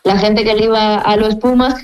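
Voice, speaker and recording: A woman's voice: mid-range voice, fairly even intonation, moderate pace, soft background music, low-quality recording. A woman sports journalist from Spain whose Spanish accent has a Mexican tinge, chatting casually with many fillers and restarts. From Spain (Gijón). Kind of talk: casual phone-in chat.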